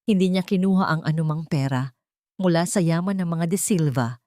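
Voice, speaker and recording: Low-voiced Filipino woman narrating a story. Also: dark tone, dry recording, studio quality. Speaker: audiobook storyteller. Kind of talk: scripted dramatic story narration.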